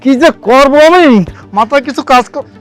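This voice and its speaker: Very high-voiced, exasperated village parent male actor. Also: moderate pace, soft background music. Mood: grumbling, cross. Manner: scolding, muttering complaints. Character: exasperated village parent grumbling about naughty children. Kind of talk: village comedy drama acting.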